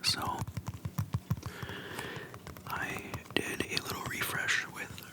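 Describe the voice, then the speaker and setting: An ASMR creator speaks entirely in a hushed, breath-only whisper, close to the microphone. The delivery is casual and slangy, like chatting with a friend, with unhurried pauses between short phrases. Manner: informal slangy speech. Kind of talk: whispered ASMR, casual nostalgic game chat. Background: soft tapping and clicking.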